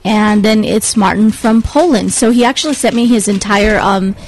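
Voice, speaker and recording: A woman's voice: mid-range voice, moderate pace. A woman co-hosting a casual podcast, chatting and reading out listener emails. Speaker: podcast co-host.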